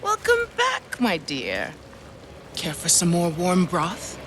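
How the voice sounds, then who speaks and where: A woman's voice: on the low side, wildly swooping pitch, slow pace, a bit bright, slight background noise, exaggerated voice. A woman playing a sweet-talking, cunning old widow with a doting, theatrical manner in a video game. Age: older. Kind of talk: video game cutscene acting.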